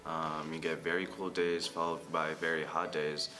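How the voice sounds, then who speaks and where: A man's voice: extremely low pitch, flat delivery, normal speed. A young man from Syria, an international student, casually giving packing advice to viewers in a friendly way. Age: young adult. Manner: friendly, advising, addresses viewers as guys. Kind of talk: casual informational video. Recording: roomy, mic at a distance, music underneath.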